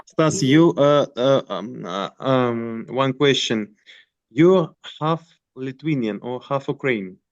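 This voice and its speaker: Very slow, animated man on muffled call. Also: medium pitch. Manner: halting. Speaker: priest hosting the meeting. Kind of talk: casual hosting of a group chat. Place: online video call. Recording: dull video call audio.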